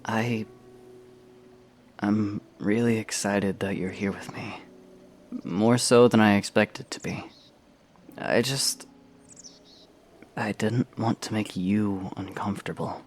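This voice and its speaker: A man playing a reserved but teasing young adventurer in a fan audio drama, casual and a little halting. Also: fairly low pitch, steady tone, slight background noise. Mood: sincere. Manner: casual, halting when sincere.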